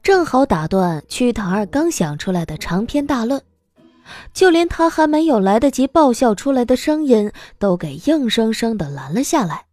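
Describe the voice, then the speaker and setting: A woman giving expressive scripted narration as an audiobook narrator. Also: a little high, moderate pace, faint music behind.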